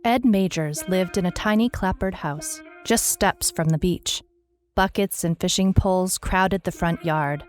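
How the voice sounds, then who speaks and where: An actress plays a world-weary female private eye with a low voice. She narrates in a scripted noir audio drama style, dry and deadpan, with wry understatement throughout. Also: a little slow, faint music behind, natural voice. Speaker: narrator, lead actor.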